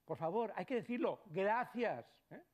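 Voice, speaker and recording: A middle-aged man from Barcelona giving a conversational lecture to doctors, often checking in with the audience and letting his voice trail off quietly. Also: high voice, expressive, normal speed, dull audio. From Barcelona, Spain. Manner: conversational, frequent tag questions, trails off quietly. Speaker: psychologist giving a talk. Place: lecture hall.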